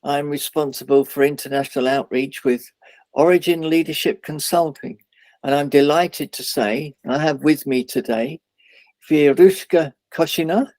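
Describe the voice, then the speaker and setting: A man hosting an interview, asking questions in a measured, encouraging way. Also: fairly high pitch, darkish tone, dry recording. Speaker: interviewer, outreach lead.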